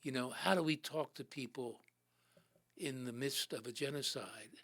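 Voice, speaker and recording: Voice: medium pitch, normal speed, a bit bright. A host chatting with a guest poet, hesitant with frequent restarts. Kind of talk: casual chat with a guest.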